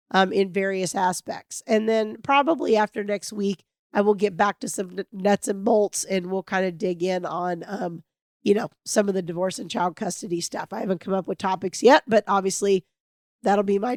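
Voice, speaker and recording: A middle-aged woman hosting a podcast alone, talking in an earnest, reflective and hopeful way, her voice raspy from a cold. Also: medium pitch, normal speed, dry recording, studio quality. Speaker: podcast host. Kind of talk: heartfelt solo podcast monologue.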